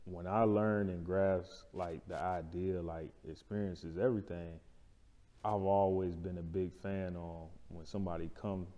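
A deep-voiced man explains things casually in an interview. His tone is dark and relaxed, his phrasing colloquial, and the room rings with noticeable reverb. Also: measured pace, dull audio. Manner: colloquial, explanatory, checking in with "right?". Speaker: barbershop owner, interview guest. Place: indoor lounge-style studio. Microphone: lapel mic.

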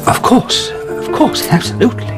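A man's voice: moderate pace, over loud music, lightly acted. An actor playing a nervous, obliging male records clerk who stammers and hesitates in a radio drama.

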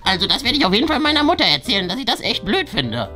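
Someone playing a bright-voiced, nerdy know-it-all schoolboy. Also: medium pitch, sing-song, rapid-fire, faint music behind. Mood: annoyed. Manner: lecturing, stammering restarts. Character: nerdy schoolboy, proud maths whiz, defensive know-it-all. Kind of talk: improvised children's role-play comedy.

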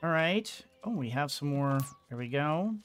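A man's voice: fairly high pitch, lively intonation, normal speed. A middle-aged man giving casual gameplay commentary, thinking aloud as he goes. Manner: thinking aloud, muttering to himself. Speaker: let's play host. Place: small indoor home room. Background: game music.

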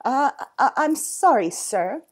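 A woman's voice: on the high side, big pitch swings, slow pace, dark tone, exaggerated voice. A woman voicing a nervous, kindly old male zookeeper in a storybook, hesitant and apologetic.

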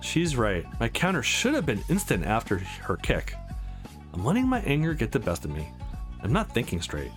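A man's voice: mid-range voice, wildly swooping pitch, moderate pace, soft background music. A man voicing a terse, brooding young man who trains to fight in a visual novel. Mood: tense focus. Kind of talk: visual novel dialogue and inner monologue read aloud.

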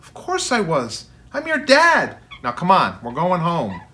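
A man's voice: on the high side, wildly swooping pitch, a little slow, voice pushed up, heavily acted. A man voicing an emphatic, exasperated father in a storybook. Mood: worried, firm. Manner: emphatic, forceful. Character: stern exasperated father, grown man, softening to wonder.

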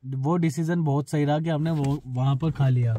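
A man's voice: a little high, fairly even intonation, normal speed, noisy background. A man riding along on a road trip, chatting casually with his friend in the car. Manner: easygoing, repeats himself. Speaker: travel companion. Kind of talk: casual chat. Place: inside a car.

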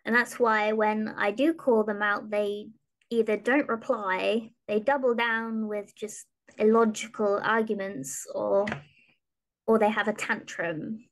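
A young woman with a high voice, chatting casually as a podcast guest. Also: fairly even intonation, measured pace, low-quality recording. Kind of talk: casual chat. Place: small room at home, video call.

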